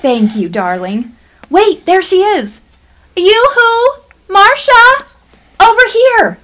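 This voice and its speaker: Radio comedy-drama wife, female actor: American, high and very lively, insistent yet sugary. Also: slow, heavily acted. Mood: excited, welcoming. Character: sweet but gently bossy American tourist wife. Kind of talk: radio play acting.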